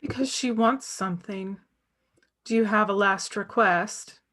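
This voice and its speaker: A woman playing a solemn, morbid young girl, deadpan, in a comedy script table read. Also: mid-range voice, measured pace, lightly acted. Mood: solemn, insistent.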